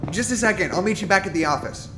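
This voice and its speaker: Higher-voiced, exasperated male psychologist played by an actor. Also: fast, very noisy, lightly acted. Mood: agitated. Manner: exasperated, blunt. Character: jaded, frustrated male psychologist, stubborn and guarded. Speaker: actor in an audio drama podcast. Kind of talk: naturalistic audio drama acting.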